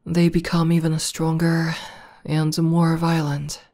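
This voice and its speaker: Tomboy demon girlfriend for ASMR roleplay, female performer: low, even, soft and hesitant, shy but devoted. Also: takes it slowly. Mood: explaining, hesitant. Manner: soft, hesitant, bashful, breathy dips into whisper.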